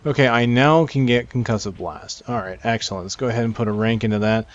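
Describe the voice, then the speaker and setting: A man's voice: medium pitch, fast talker, highs cut off. A man giving casual, unscripted gameplay commentary as he presents a game, rambling and thinking aloud with game music behind him. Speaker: gamer presenting a game preview.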